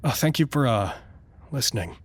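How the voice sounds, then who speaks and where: An actor playing a tired, self-conscious research doctor in an audio drama, rambling and stammering when flustered. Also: male voice, medium pitch, animated, normal speed, noisy background, close to an everyday voice. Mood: tired, mellow. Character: weary, petty but good-natured male research doctor. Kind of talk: audio drama acting, log monologue.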